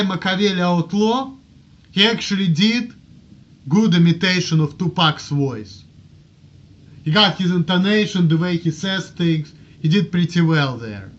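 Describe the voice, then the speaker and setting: A man's voice: on the high side, fairly bright tone, dull audio. A man from Russia with a strong Russian accent, rambling casually and repeating himself as he justifies himself in a homemade video blog. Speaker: amateur video blogger. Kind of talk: casual rambling talk to camera.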